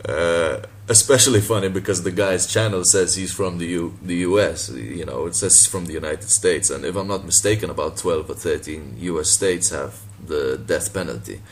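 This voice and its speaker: A man from Malta, a native Maltese speaker, with a deep voice, talking casually and sardonically in a response video, laughing and swearing as he goes. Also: moderate pace, few pauses, a little hiss or hum. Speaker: boxing channel vlogger.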